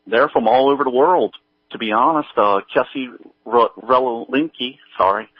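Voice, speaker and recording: A middle-aged man chatting casually as an interview guest, speaking haltingly with many pauses. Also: mid-range voice, no room sound, highs cut off. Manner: halting, hesitant delivery full of pauses. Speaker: guest, audio drama creator.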